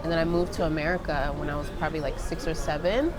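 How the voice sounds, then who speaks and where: A young woman from Zimbabwe hosting a casual conversation, chatty and lively, laughing often. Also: low-pitched, fast, background noise. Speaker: host. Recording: music playing loudly behind.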